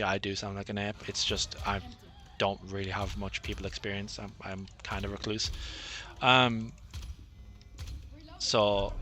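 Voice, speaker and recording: A man talks over his gameplay in a fairly low voice with a bright, forward edge. His delivery is monotonous, full of fillers and self-deprecating asides. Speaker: gaming channel host. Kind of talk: live gameplay commentary.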